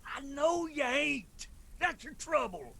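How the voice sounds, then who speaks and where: An actor playing a weary, cautious Appalachian clan father in a radio drama, deliberate and commanding. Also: very high voice, sing-song, gravelly, bright, forceful tone, exaggerated voice. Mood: wary, stern.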